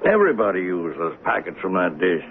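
An actor playing a folksy, observant train conductor in a 1970s radio mystery, musing and plain-spoken. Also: male voice, deep voice, huge pitch swings, fairly quick. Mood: earnest, insistent. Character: folksy, observant commuter-train conductor telling a murder tale. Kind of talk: 1970s radio drama acting, narration and dialogue. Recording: noisy phone-like old radio broadcast.

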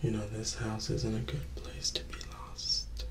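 A man in his twenties playing a charismatic, slyly menacing young vampire in a soft-spoken, whispered ASMR roleplay, teasing and hushed. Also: on the low side, flat delivery, vocal fry, echoey room. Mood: sly, curious. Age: 20s. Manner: slow, hushed, teasing, with lingering pauses. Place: small indoor room or hallway, close to camera.

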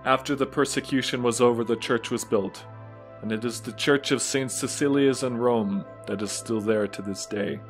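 A man's voice: medium pitch, steady tone, normal speed. A man narrating a scripted religious audio drama as storyteller. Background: music.

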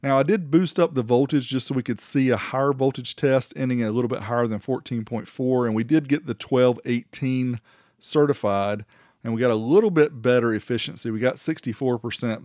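A man's voice: mid-range voice, a little fast, darkish tone, no echo, studio quality. A man hosting a gear review, narrating tests casually in a matter-of-fact, explanatory way and reading out figures. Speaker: host of an audio gear review channel. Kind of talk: casual product test narration.

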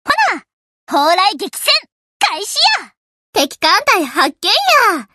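A woman's voice: very high-pitched, sing-song, slow, pushed and bright, no echo, clean studio sound, full character voice. A woman voicing a cheerful, easygoing young warship girl in an anime game, with a casual, folksy Kansai accent. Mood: perky, confident. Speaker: voice actor of a game character. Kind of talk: anime game character voice lines.

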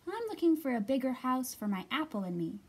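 A woman voicing a small female storybook mouse, polite, eager and hopeful, with a squeaky voice. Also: big pitch swings, a little fast, darkish tone, breathy edge, exaggerated voice.

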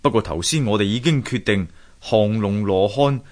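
An actor playing an earnest, thoughtful sculptor husband in a Hong Kong Cantonese radio drama, musing aloud theatrically. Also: male voice, fairly low pitch, moderate pace, natural voice. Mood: animated, pleased.

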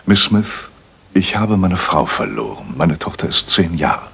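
An actor playing a courteous, frank widowed gentleman landowner in a German radio play, speaking in a measured, matter-of-fact way. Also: low-pitched, sing-song, vocal fry, breathy edge, heavily acted. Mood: businesslike, frank.

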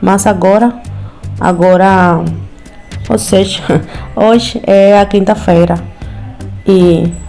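Young Cuban woman, Spanish speaker vlogging in slow, halting Portuguese with a Spanish accent, warm low tone, light music bed. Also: fairly even intonation. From Cuba. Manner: careful learner's Portuguese with Spanish slips. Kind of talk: casual vlog to camera. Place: small room at home, indoors.

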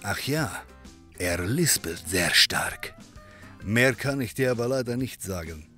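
An actor playing a friendly European barkeeper in a comedy audio drama, easygoing and confiding in manner. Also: male voice, on the low side, lively intonation, a little slow, pushed and bright, soft background music. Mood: helpful. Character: friendly European barkeeper, helpful male pub owner.

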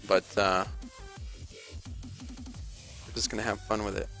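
A young man giving casual, playful and excitable live commentary while he plays a video game. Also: lowish voice, a little slow, gravelly, soft background music. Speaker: game streamer. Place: indoor room at a streaming desk.

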